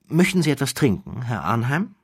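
A man's voice: medium pitch, very animated, fairly quick, husky, no echo, studio quality, pitched above the performer's own voice. A man voicing a suave, courteous count and con man in an audiobook, speaking with formal, polite reserve. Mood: courteous, guarded. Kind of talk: acted audiobook dialogue.

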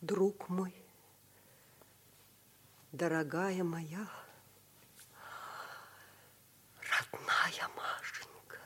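A middle-aged widowed mother in a vintage radio play, gentle yet anguished. She speaks slowly and haltingly, with a husky edge and deep feeling. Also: steady tone, exaggerated voice. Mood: shaken. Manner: slow, halting, emotionally fraught. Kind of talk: mid-century Soviet radio stage drama.

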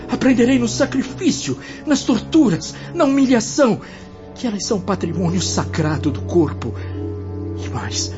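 An actor in a radio drama playing a repentant, tormented male spirit in an impassioned, declamatory way. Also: sits high. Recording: dull lo-fi, music behind.

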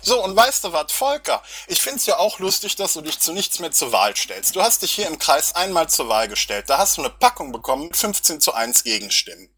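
A man's voice: a little high, big pitch swings, brisk, few pauses, bright, forceful tone, husky. An agitated, angry man arguing in a confrontational, accusatory and sarcastic way in a heated voice-chat dispute. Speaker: local political party activist. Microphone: online voice chat recording.

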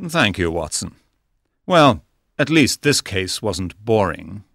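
Male actor as composed, courteous detective for audiobook drama; low register, very animated intonation. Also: slow pace, gravelly, no room sound, clean studio sound, heavily acted.

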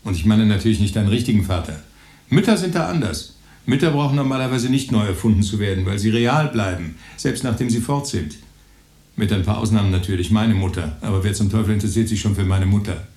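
A man's voice: lowish voice, lively intonation, a little fast, darkish tone. An actor in a German radio drama playing an impatient, cynical man who questions and probes. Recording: echoey room.

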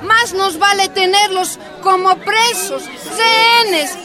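An actress playing a bold Bolivian housewives' leader in a radio drama, speaking emphatically as if to a crowd. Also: female voice, extremely high pitch, steady tone, slow, loud music behind the voice. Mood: firm, commanding. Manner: emphatic, declamatory, addressing a crowd. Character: bold housewives' committee leader, a forceful miners' wife.

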